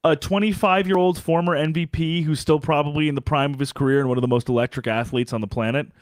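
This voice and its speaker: A man's voice: a little high, fast talker, dry recording. Sarcastic man in a sports chat. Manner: animated, sarcastic, many restarts. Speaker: podcast co-host.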